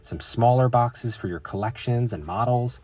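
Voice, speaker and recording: A man's voice: medium pitch, normal speed, a little mellow, soft background music, pitched above the performer's own voice, close to an everyday voice. A man voicing a firm, fair-minded father bear in a storybook, speaking emphatically. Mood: enthusiastic.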